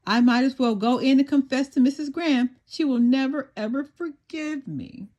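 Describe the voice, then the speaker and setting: A woman's voice: high-pitched, normal speed, a little mellow, pitched above the performer's own voice. A woman voicing an anxious, tearful little girl with a whiny, plaintive manner in a storybook. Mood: tearful resignation. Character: anxious, tearful little girl who lost a library book.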